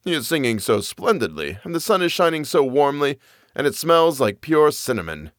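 A man reading a cheerful, animated storybook father. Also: fairly high pitch, normal speed, no room sound, studio quality, pitched above the performer's own voice. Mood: delighted wonder. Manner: wondering, expansive.

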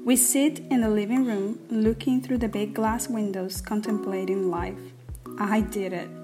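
A woman's voice: mid-range voice, steady tone, unhurried. A native Spanish-speaking woman from Cuba in her late thirties, reading her own personal essay. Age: late 30s. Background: music.

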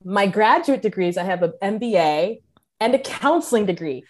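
A woman's voice: mid-range voice, expressive, normal speed, darkish tone. A woman in her thirties with an American accent, chatting as a podcast guest, laughing often and poking fun at herself. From United States and Cameroon. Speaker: podcast guest, career counselor. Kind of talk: casual interview conversation.

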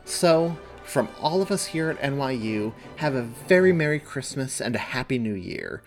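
A man voicing an earnest, stubborn young woman video journalist in an audiobook, with emphatic, deliberate delivery. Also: mid-range voice, expressive, faint music behind, pitched above the performer's own voice, natural voice. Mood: earnest, presenting. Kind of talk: audiobook character dialogue.

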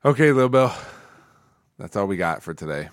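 A middle-aged man hosting a podcast, chatting casually with his young daughter and gently teasing her with coaxing questions. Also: fairly low pitch, big pitch swings, normal speed, no room sound, studio quality. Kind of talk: casual family chat.

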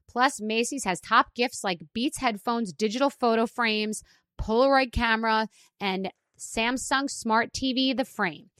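A woman's voice: fairly high pitch, measured pace, fairly bright tone, clear, resonant tone, no room sound, studio quality. A woman reading an advertisement in a friendly, personal way. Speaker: ad reader. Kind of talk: read advertisement.

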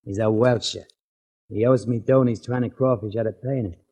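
A man's voice: on the low side, fairly even intonation, fairly quick, warm tone, husky. An actor playing a menacing, cocky gambling boss in a 1940s radio crime drama, with slangy, needling tough-guy talk. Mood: quietly threatening.

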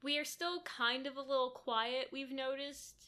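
A soft-spoken woman co-hosting a casual podcast from a kitchen table at home. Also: high-pitched, fairly even intonation, moderate pace. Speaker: podcast co-host. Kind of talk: casual chat.

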